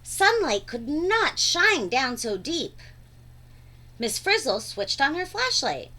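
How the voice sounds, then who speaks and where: Woman, children's read-aloud, slow pace, bright voice, dramatic drawn-out emphasis. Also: high-pitched, big pitch swings.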